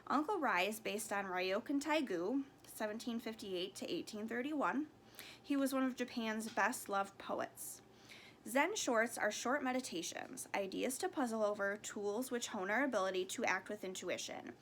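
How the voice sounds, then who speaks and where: A woman reading a storybook aloud in an expressive narrating style with careful phrasing. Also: on the high side, normal speed, slight background noise. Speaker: storybook reader.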